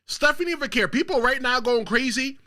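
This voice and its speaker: A man from the Bronx hosts his own wrestling show, speaking directly to his viewers. His voice is high and piercingly bright, and it swings widely in pitch. He pushes out his hot takes with real emphasis. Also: expressive, a little fast. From the Bronx, New York. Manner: talks straight to viewers. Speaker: show host. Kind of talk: solo wrestling commentary show.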